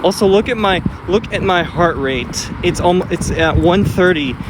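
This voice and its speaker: A man's voice: high voice, normal speed, noisy background. A man vlogging casual updates on camera, upbeat and rambling, with fillers and restarts.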